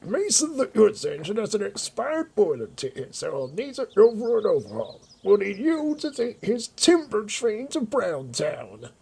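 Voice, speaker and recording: A stern company manager acted by a man with a high, swooping voice full of creak. He sounds authoritative and exasperated, scolding in audio-drama style with a slightly rough edge. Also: huge pitch swings, normal speed, few pauses, dark tone, a little hiss or hum, heavily acted. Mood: brisk, stern.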